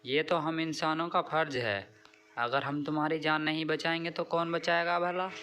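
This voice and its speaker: A kind village farmer from a cartoon moral tale, played by a man, modest and friendly. His voice is clean and smooth, a little higher than average. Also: fairly even intonation, normal speed, bright, forceful tone, soft background music. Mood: kindly. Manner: modest, kindly.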